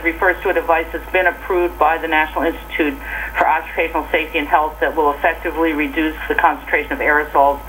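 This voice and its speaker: A woman's voice: low-pitched, fairly bright tone, a little hiss or hum, rough recording. An American woman giving a prepared briefing as a government safety official over a telephone conference line.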